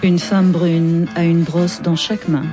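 A woman hosting a radio interview, presenting in a lively, chatty way with asides. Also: on the low side, unhurried, over music. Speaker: radio host.

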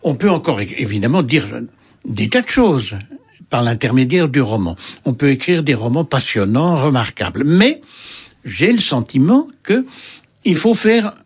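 Older man, husky voice, lively swooping pitch, thoughtful interview answers. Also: normal speed. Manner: musing, hesitant, with frequent fillers and restarts. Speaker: interviewed writer.

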